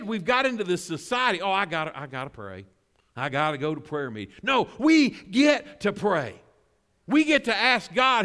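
A middle-aged man preaching a sermon in a church, conversational and animated, drawing responses from the congregation. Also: in a large hall. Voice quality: bass voice. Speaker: pastor.

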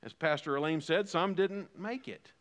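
A man's voice: on the high side, normal speed, a bit bright, long reverb tail. A man in his fifties preaching a sermon as pastor, building emphasis through repeated phrases. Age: 50s. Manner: preaching with rising emphatic repetition.

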